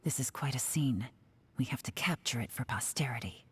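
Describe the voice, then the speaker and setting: An actress playing a composed, wry woman in a video game, with a hushed, sly manner. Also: very deep voice, normal speed, background noise.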